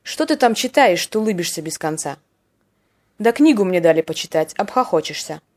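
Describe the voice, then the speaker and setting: A woman's voice: on the low side, sing-song, moderate pace, a little hiss or hum. Wry woman hosting a language podcast. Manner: wry, playful, acts out short example dialogues. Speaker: podcast host teaching Russian slang. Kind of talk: scripted educational podcast narration.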